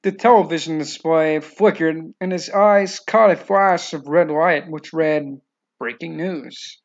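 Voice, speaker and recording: Male novel narrator, slightly high pitch with gravelly fry, reading from a script, lo-fi sound. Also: unhurried.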